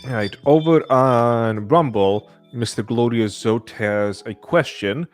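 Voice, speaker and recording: A man's voice: mid-range voice, slow pace, soft background music. A man reading out an audience question as a podcast host.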